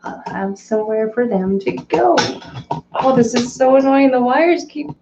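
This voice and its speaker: A woman hosting a casual live stream from her fish room, chatting and answering viewer comments with a hoarse, cracking voice. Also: fairly high pitch, animated, unhurried, over music. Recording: roomy, slightly dull home recording.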